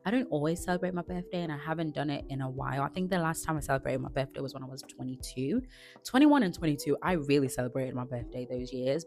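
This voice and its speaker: A woman of twenty-five from Zimbabwe, chatting casually to camera as a vlogger, relaxed and chatty. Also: deep voice. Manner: chatty and relaxed, with frequent "like" and restarts.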